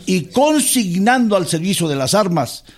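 An actor playing an impassioned club president giving a declamatory, emphatic political speech in a radio drama. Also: male voice, high-pitched, sing-song, moderate pace. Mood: indignant.

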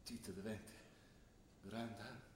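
An actor playing an ageing, gentle, worried father from the provinces, halting and homely, with a northern Italian Trentino accent. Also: on the low side, steady tone, noisy background. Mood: resigned, tender. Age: older. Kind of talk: stage drama acting in dialect. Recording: roomy stage sound, faint music.

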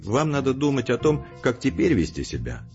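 A man's voice: mid-range voice, wildly swooping pitch, brisk, muffled recording, over music. A man playing a self-assured, coolly logical intellectual in an audio drama, lecturing formally and emphatically. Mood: firm, sober. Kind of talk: scripted audio drama dialogue.

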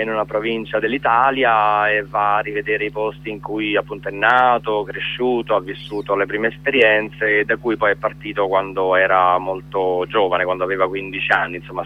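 A man, a stage actor and director, talking about his play in a casual phone interview, pausing often with fillers as he searches for words. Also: lowish voice, flat delivery, phone-quality sound. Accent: Italian.

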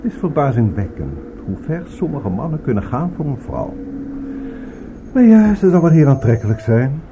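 A man's voice: mid-range voice, sing-song, muffled recording, noisy background, close to an everyday voice. Man playing a suave crime boss, widely varied intonation. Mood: smoothly menacing. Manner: polished, falsely courteous, unhurried. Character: suave male crime boss, coolly menacing, courteous host. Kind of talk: Dutch radio drama acting.

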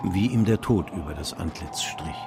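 An actor narrating a radio play as a grave, unhurried storyteller. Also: male voice, low-pitched, noisy background. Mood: grave, ominous. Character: grave, unhurried storyteller of a stock-market downfall. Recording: music behind the voice.

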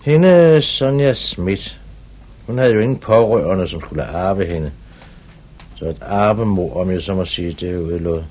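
An actor playing a brisk, probing police commissioner in a 1950s radio drama, with clipped, authoritative questioning. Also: male voice, deep voice, lively intonation, darkish tone. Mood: reasoning.

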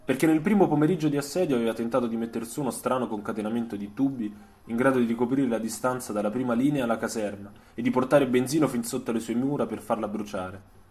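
A man reading a scripted literary story for radio, in a measured, dramatic storytelling way. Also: medium pitch, darkish tone.

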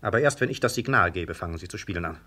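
Stern, insistent police sergeant. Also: male voice, low voice, expressive, rapid-fire, sharp tone, heavily acted. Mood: commanding. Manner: commanding, clipped, insistent. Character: stern, suspicious police sergeant interrogating suspects. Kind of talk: 1950s radio drama acting.

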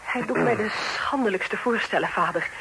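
An actress playing a loyal, earnest young daughter in a period radio drama, speaking formally with a pleading manner. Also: female voice, mid-range voice, moderate pace, fairly bright tone, a little hiss or hum, natural voice, poor audio. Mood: indignant. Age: young adult. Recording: old radio play recording.

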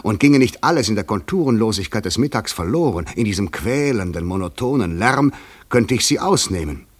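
An actor in a radio play playing a probing, insistent stranger who talks in a theatrical, poetic way, moving between soft and emphatic. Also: male voice, fairly low pitch, lively intonation, a little fast, breathy edge. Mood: solemn, poetic. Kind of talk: German radio play acting.

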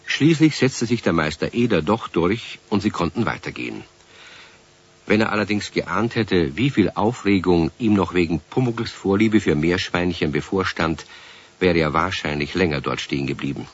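A man narrating a children's radio play as a leisurely storyteller, in a deep, husky voice. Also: expressive, normal speed. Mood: storytelling. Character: calm, leisurely male storyteller. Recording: muffled old 1970s radio recording.

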